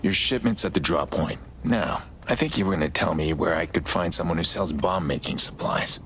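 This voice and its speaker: Gruff vigilante hacker, extremely low voice, gravelly, clipped, deadpan, video game drama. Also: male voice, moderate pace, a little hiss or hum. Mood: guarded, focused. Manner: terse, low-key, deadpan. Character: gruff middle-aged male vigilante hacker, terse and brooding.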